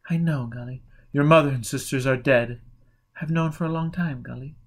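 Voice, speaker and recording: A man reading the part of a calm, forgiving woman in an audiobook, gently and patiently giving guidance. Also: medium pitch, moderate pace, lightly acted. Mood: gentle, guiding.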